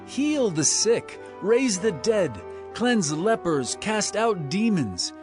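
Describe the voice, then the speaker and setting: An actor playing an authoritative male teacher and healer, declaiming earnestly in a dramatized scripture reading over music. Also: fairly high pitch.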